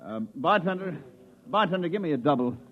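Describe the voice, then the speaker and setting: An actor playing a harried, sarcastic maintenance chief, exasperated and wisecracking, in a 1950s radio drama. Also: male voice, on the high side, expressive, brisk, soft background music. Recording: dull vintage radio recording.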